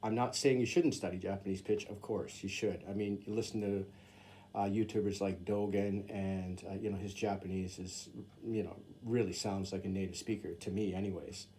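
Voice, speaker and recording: A man's voice: deep voice, fairly even intonation, normal speed, warm tone. A man who teaches English in Japan, a native English speaker, talking casually to camera and explaining Japanese sentences with plenty of fillers. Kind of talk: casual talk to camera, reading sentences aloud.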